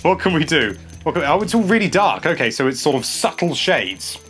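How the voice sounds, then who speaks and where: A young man hosting a casual gameplay commentary, chatty and excitable, stumbling over his words and laughing a lot. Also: on the high side, fairly quick, soft background music. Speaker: games journalist host. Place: small indoor room.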